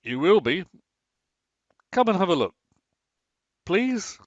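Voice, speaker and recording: Dry, sardonic husband, expressive and slightly muffled , acted by a man. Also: sits high, takes it slowly, no room sound, heavily acted. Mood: coaxing, earnest. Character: Martin, grumbling, sarcastic but affectionate husband in lockdown. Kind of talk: naturalistic radio play acting.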